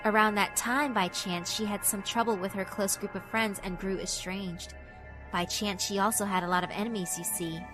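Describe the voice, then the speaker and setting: Music plays clearly behind a cruelly amused female school spirit, performed by a woman. Her voice sits at a medium pitch as she narrates a horror visual novel with theatrical, mock-polite menace, taunting the listener with pointed questions. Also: steady tone, normal speed, lightly acted, rough recording. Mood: cold, mocking.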